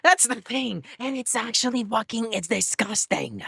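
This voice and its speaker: A man live streaming, chatting casually to his viewers in an excitable, rambling way with lots of restarts. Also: very high voice, normal speed, vocal fry, breathy edge, dry recording, clean studio sound. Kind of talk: casual chat to a live audience.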